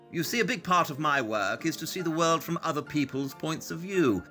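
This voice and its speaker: An arrogant detective in an audio drama, aloof and superior. His speech is clipped and lively in pitch, with a bright, forceful tone and faint music underneath. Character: brilliant, arrogant English male detective.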